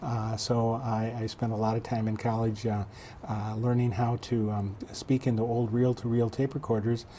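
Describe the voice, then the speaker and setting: Measured man in his sixties. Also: medium pitch, level tone, warm tone, slight background noise. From south central Minnesota. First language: German. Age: 60s. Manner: measured, halting, many fillers. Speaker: school superintendent guest. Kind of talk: local TV interview.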